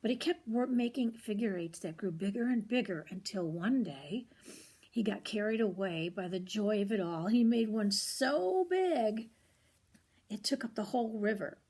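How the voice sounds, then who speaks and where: Husky older woman reading a children's story. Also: medium pitch, normal speed. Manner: expressive, grandmotherly, commenting on the pictures. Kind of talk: read-aloud storytelling with short asides.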